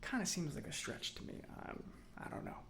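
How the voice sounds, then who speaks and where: A man playing a chatty, self-satisfied robot mechanic in a soft-spoken ASMR roleplay, breathy and often near a whisper, musing slowly with pauses. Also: a little hiss or hum, close to an everyday voice. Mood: musing, skeptical. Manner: unhurried, hesitant musing with pauses.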